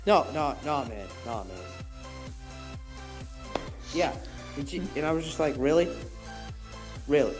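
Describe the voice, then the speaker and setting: A man's voice: a little high, expressive, measured pace, pauses often, over music. Rambling teen guy telling a story. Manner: rambling storytelling with many "I was just like" asides. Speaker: podcast co-host. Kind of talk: casual chat, telling a long story.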